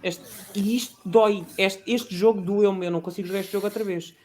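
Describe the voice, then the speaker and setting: A man with a European Portuguese accent, chatting as a podcast guest, passionate and halting with many restarts. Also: high-pitched, steady tone, a little mellow, a little hiss or hum. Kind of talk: casual chat.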